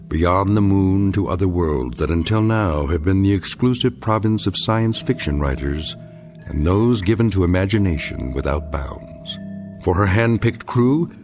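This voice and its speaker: An actor as a grave male narrator delivering dramatic scripted narration in a solemn, measured, portentous way. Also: low-pitched.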